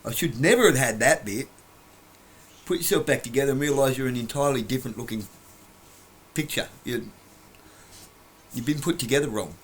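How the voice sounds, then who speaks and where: A man's voice: medium pitch, a little fast, long pauses. A man lecturing bluntly from his couch in a rambling monologue, breaking off words and laughing at himself. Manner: lecturing, blunt, rambling, trails off mid-word. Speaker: vlogger. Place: home, on a couch.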